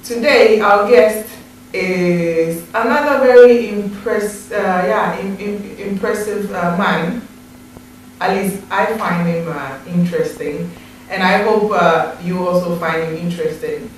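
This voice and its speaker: A woman hosting a TV interview, chatty and quick to laugh, with a lot of fillers. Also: on the low side, distant mic, a little hiss or hum. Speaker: host. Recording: echoey room, voice from off mic.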